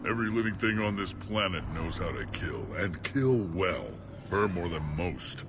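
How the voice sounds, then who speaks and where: An actor playing a gruff, battle-hardened alien warrior chieftain in a video game, speaking in blunt, forceful bursts. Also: male voice, deep voice, animated, moderate pace. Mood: grave. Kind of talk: dramatic video game acting. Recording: loud music and effects behind. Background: loud music, vehicle noise.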